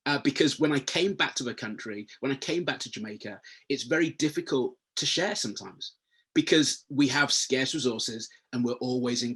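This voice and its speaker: A man's voice: mid-range voice, a bit bright, husky. A man moderating an online panel over a video call, chatting in a friendly, rambling way and restarting his sentences. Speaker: webinar moderator. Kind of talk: casual panel chat.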